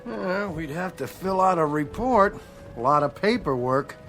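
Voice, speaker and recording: Easygoing cop with lively intonation. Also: male voice, a little high, unhurried, soft background music. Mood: sympathetic. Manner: reassuring, folksy. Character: easygoing, sympathetic police officer, soothing and lenient. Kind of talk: dramatic radio play acting.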